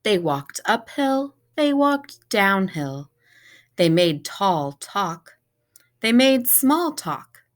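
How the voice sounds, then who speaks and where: A woman with a mid-pitched voice reads a picture book aloud for children. Her pace is very slow and measured, each short sentence set out deliberately, as a teacher would read to young pupils. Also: very animated. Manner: deliberate, measured storybook delivery.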